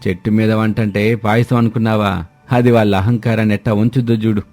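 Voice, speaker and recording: A man's voice: on the low side, flat delivery, fairly quick, a little mellow, soft background music, lightly acted. A man voicing village husbands and schemers in a moral story, with a colloquial, teasing manner. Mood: gleeful scheming. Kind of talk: moral story character acting.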